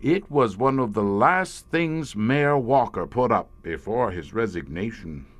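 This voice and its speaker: A low-voiced, middle-aged inspector carries a radio horror play. He talks slowly and broodingly, with big swings of pitch and dramatic pauses, like a learned man who has guarded a terrible secret for years. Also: expressive, no room sound. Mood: explaining, wry. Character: grave, haunted police inspector, former professor, guarding secret horror. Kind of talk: audio horror drama in old-time radio manner.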